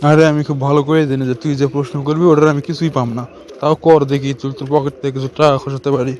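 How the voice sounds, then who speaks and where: A man's voice: on the high side, flat delivery, racing, a little mellow, natural voice. A man with a northern Bangladeshi accent playing a cheeky, grumbling young friend in an amateur cartoon. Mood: nagging. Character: cheeky young man nagging his stingy friend for treats.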